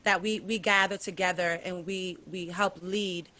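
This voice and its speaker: A woman on an online panel, describing her marketing job in halting speech with many restarts and repeated words. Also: fairly low pitch, fairly even intonation, bright, forceful tone. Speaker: panel guest, music marketing director.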